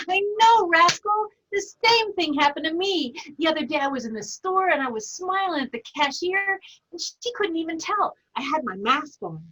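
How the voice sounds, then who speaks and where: Bright, high-voiced chatty woman. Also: animated, moderate pace, poor audio.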